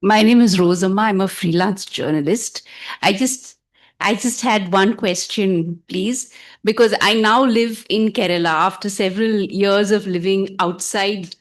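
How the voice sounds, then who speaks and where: A woman's voice: medium pitch, unhurried. A woman who is a freelance journalist, asking a question from the audience, polite and a bit hesitant. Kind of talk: audience question at a public event.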